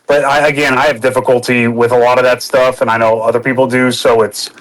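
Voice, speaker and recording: Halting southern-accented man chatting. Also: medium pitch, little pitch movement, fairly bright tone, poor audio. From Texas, United States. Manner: halting, restarts often, swears casually. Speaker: podcast participant. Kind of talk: casual podcast chat.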